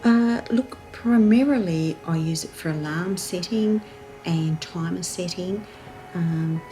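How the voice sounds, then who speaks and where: Woman householder, low-ish, relaxed and chatty. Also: slow pace, faint music behind. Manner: chatty, plain-spoken. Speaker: householder interviewee. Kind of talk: casual interview answers.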